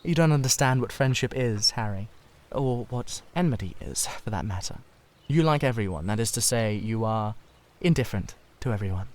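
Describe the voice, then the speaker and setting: A man voicing an anxious, earnest Victorian gentleman painter who confides dramatically in a literary reading. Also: on the low side, animated, normal speed, close to an everyday voice. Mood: quiet reproach.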